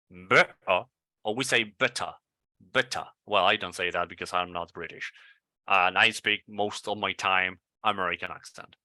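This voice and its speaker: A man from Venezuela with a Venezuelan Spanish accent, coaching English pronunciation and repeating model words slowly and deliberately. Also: fairly low pitch, bright, forceful tone, no echo.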